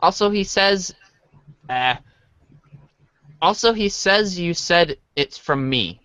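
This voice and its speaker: Woman playing a lively, bemused teenage boy. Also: fairly low pitch, slow, clear, resonant tone, no echo. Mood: hesitant. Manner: hesitant, casual, bemused. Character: laid-back, slightly awkward teenage boy, good-natured and bemused. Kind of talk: amateur reader's theater.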